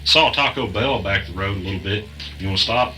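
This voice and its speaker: A man's voice: on the low side, a little fast, sharp tone, soft background music. A man playing a frazzled, suspicious man hounded by a conspiracy, tense and weary in naturalistic audio drama dialogue.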